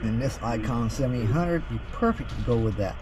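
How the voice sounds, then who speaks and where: A man's voice: medium pitch, lively intonation, a little fast, background noise. An American man giving an informal, opinionated walkthrough of radio gear and advising listeners what to buy. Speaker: vlogger showing radio gear in a store. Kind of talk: informal off-the-cuff product walkthrough. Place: inside a busy radio store. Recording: muffled audio with busy store chatter. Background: other people talking.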